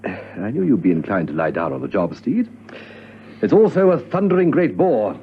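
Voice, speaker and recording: Pompous, blustering spymaster, low and animated. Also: male voice, normal speed. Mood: grumbling, jovial. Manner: pompous, blustering, hesitant asides. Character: pompous, exasperated male spymaster boss, fond of cocktails. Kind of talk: 1970s-style spy radio drama acting. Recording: muffled, hissy old radio recording.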